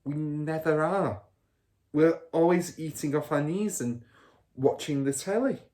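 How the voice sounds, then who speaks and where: A man's voice: fairly high pitch, measured pace, darkish tone, voice pushed up, lightly acted. A man voicing an earnest, indignant five-year-old girl in a storybook, protesting and outspoken.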